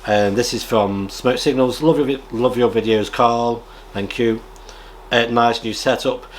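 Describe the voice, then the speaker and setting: A British man chatting casually as a radio hobby vlogger, rambling in a halting way and often clearing his throat. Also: medium pitch, fairly even intonation, a little hiss or hum. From United Kingdom. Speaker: amateur radio vlogger and presenter. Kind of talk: casual rambling chat, reading out viewer comments.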